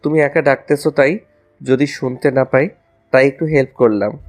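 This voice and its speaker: একজন পুরুষের অভিনয়ে দুষ্টু, রোমান্টিক কলেজপড়ুয়া ছেলে, পেছনে বাজনা. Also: male voice, medium pitch, fairly even intonation, normal speed, faint music behind, natural voice. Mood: playful, mock-innocent. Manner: playful, mock-innocent, teasing. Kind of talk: audio romance story acting.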